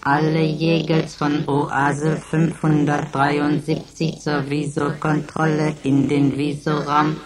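Impassive computer, very monotone and low. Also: female voice, slow, hardly any pauses, over a noisy crowd, rough recording. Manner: flat, clipped, mechanical announcing. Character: Seneca, an impassive computer running roll-call checks. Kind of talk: radio drama acting.

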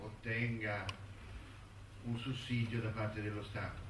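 Stage monologue: male actor as elderly, wistful, bitter man of letters; slow, halting, musing delivery; echoey, distant recording. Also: on the low side, flat delivery, background noise, lightly acted. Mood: bitter, resigned. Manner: halting, musing, with rhetorical tags and repetitions. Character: elderly male intellectual and ant lover, wistful, bitter, reminiscing. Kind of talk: theatrical monologue in a radio-broadcast play.

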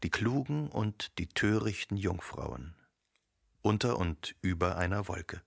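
A man with a gravelly voice narrating a literary radio drama from a script. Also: low voice, animated, unhurried, dry recording, studio quality, full character voice.

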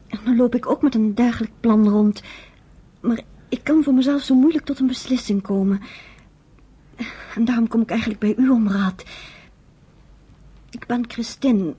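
A woman's voice: a little high, normal speed, long pauses, dark tone, slightly rough. An actress playing a polite, thoughtful and hesitant woman in a radio drama. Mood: hesitant, confiding. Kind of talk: Dutch radio drama acting.

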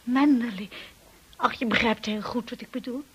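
A woman's voice: fairly high pitch, moderate pace, husky. An actress playing a shy, earnest young lady's companion in a Dutch radio drama, hesitant and emotional in delivery. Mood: bewildered, unsure.

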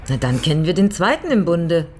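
An actress playing a resolute, level-headed lawyer in a radio crime drama, explaining her plan in a matter-of-fact, deliberate way. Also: fairly low pitch, big pitch swings, a little mellow, slight background noise. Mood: businesslike.

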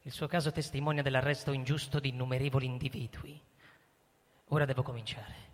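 A man's voice: medium pitch, level tone, fast talker, close to an everyday voice. A male actor playing a pompous, scheming army general in a radio drama, formal and emphatic like an orator. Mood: smugly formal. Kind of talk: theatrical radio play acting. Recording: long hall reverb, stage performance.

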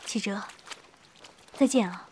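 A woman's voice: mid-range voice, takes it slowly, a little mellow, a little hiss or hum, natural voice. An actress playing a blunt, teasing young female companion in a Chinese fantasy audio drama. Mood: bright, friendly.

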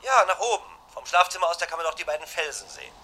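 An anxious man in a vintage radio thriller, with a bright, tense, fairly high voice. He gives clipped, insistent orders and breaks off mid-thought, over a noisy old recording. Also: lively intonation, racing. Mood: urgent, commanding. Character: anxious, urgent man hiding alarm from his beloved. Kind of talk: German radio crime drama acting.

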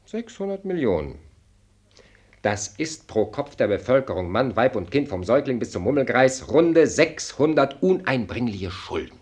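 Sardonic minister of state, swooping delivery. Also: male voice, medium pitch, very animated, moderate pace, darkish tone, slightly rough. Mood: dry lecturing. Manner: formal, pointed, lecturing, ironic delivery. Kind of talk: German radio play acting.

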